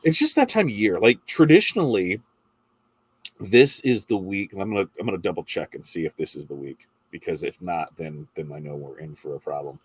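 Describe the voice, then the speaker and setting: A congested middle-aged man with a low, deep voice chats casually through a morning stream, rambling between viewer shout-outs and questions. His tone is husky, and the sound is dry and close. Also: animated, brisk, dry recording, poor audio. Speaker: livestream host reading viewer comments. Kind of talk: casual morning livestream chat.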